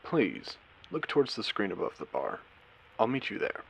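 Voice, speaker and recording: Man acting as male casino AI, post-apocalyptic audio drama, lowish gravelly voice, courteous, eerie, theatrical pauses. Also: sing-song, a little hiss or hum, rough recording. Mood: wistful, insistent. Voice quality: low, creaky voice. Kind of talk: scripted character audio drama monologue.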